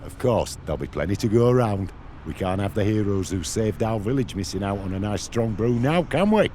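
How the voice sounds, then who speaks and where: A man's voice: on the low side, lively intonation, a little fast, mellow, a little hiss or hum, close to an everyday voice. A man playing a hearty, proud but good-natured village headman in a video game, with a declamatory delivery. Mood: warm, proud.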